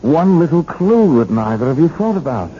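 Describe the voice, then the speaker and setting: An actor playing a shrewd, folksy detective who needles suspects in a deliberate, triumphant way, in 1970s radio drama style. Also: male voice, on the high side, big pitch swings, dark tone. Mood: smug, needling. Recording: muffled, hissy 1970s radio recording.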